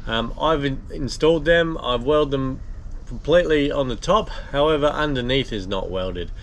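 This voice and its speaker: A man, hosting a boat restoration vlog in a relaxed, chatty way full of ums and uhs. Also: medium pitch, moderate pace, a little hiss or hum. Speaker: boat restorer and channel host. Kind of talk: casual vlog narration. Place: inside a steel boat hull, then an outdoor patio.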